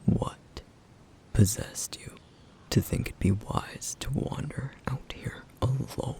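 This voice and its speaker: Man playing a gravelly whispering protective demon. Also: medium pitch. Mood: stern, worried. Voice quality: hushed, breathy, gravelly whisper. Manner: measured, formal, slightly old-fashioned phrasing. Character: duty-bound, protective male flame demon, stern yet caring. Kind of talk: ASMR roleplay.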